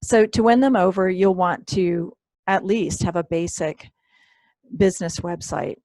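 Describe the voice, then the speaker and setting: A woman presenting an online workshop, hoarse and losing her voice, often clearing her throat and apologizing. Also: on the low side, measured pace, darkish tone, dry recording. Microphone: computer mic on a video call.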